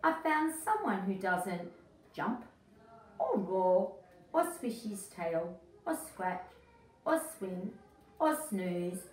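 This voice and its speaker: Storybook ladybird, played by a woman reader: lazy, fussy female, plaintive and slow, heard in an echoey room. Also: mid-range voice, very animated. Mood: relieved. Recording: echoey room, distant mic, faint noise.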